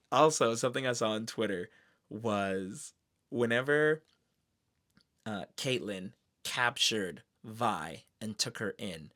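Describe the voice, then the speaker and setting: A man giving casual, excited fan commentary in a slangy, expressive way, throwing in exclamations. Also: lowish voice. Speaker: streamer reviewing an animated series.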